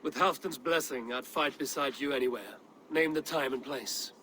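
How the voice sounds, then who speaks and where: An actor playing a bold, resolute Viking raid leader in a video game, direct and commanding. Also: male voice, mid-range voice, normal speed, sharp tone, slight background noise, close to an everyday voice, rough recording. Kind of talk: cinematic video game dialogue.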